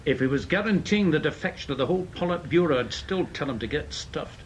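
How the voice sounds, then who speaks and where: A man's voice: on the high side, animated, fast, slight background noise, low-quality recording. An actor playing a stubborn, hard-line British intelligence committee man in a radio drama, blunt and firm. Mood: adamant.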